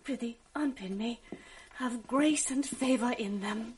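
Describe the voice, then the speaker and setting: An actress playing a gentle, sorrowful young noblewoman in a radio drama, speaking softly and wistfully, at times half singing. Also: a little high, a little slow, a little hiss or hum, close to an everyday voice. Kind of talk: 1980s radio drama acting.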